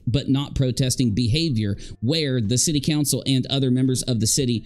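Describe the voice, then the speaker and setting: Male livestream host, thirties, mid-pitched, sarcastic and emphatic, faint music underneath. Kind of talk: casual live reaction commentary. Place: indoor room at home.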